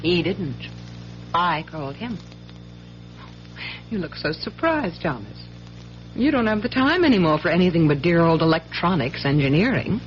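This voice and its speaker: An actress playing a cool, defiant young wife in a radio drama, with an arch, sardonic way of talking. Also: female voice, lowish voice, sing-song, normal speed, pauses often, slight background noise. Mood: cool, taunting. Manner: arch, teasing, coolly sarcastic. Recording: dull vintage radio recording.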